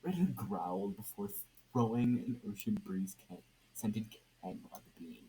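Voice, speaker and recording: A man reading scripted fiction as an amateur narrator, halting and repeating words as he goes. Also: lowish voice, expressive, warm tone, slightly rough. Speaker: podfic narrator. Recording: noisy amateur home recording.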